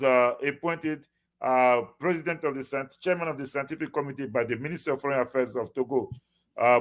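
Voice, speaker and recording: Halting man with many fillers. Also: medium pitch, a bit bright. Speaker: guest. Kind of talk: casual interview talk.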